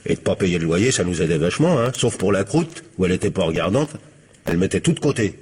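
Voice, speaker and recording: Young jobless working-class man, French radio crime drama: deep, rough voice, guarded, offhand slang. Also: animated, fast, darkish tone, exaggerated voice. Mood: earnest, casual.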